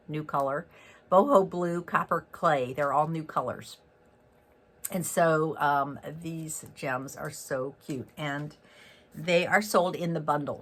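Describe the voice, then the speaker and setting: Guy with a head cold, raspy and stuffed up, chatty crafting demo, lots of ums. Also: a little high, sharp tone. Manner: chatty and upbeat, with many fillers and self-corrections. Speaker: stamping demonstrator and host. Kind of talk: casual card-making tutorial.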